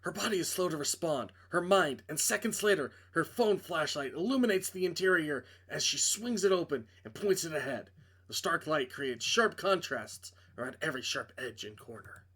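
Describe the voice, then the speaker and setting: A man's voice: on the high side, animated, moderate pace, a bit bright, breathy edge. A man reading a visual novel aloud as dramatic narration, with casual asides when he stumbles and corrects himself.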